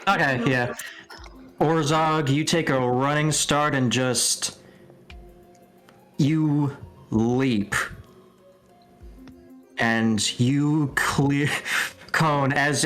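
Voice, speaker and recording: A man's voice: mid-range voice, steady tone, drawn-out words, long pauses, fairly bright tone, clean tone. Guy game mastering a tabletop rpg, takes his time, kinda hesitant casual narration, music under him.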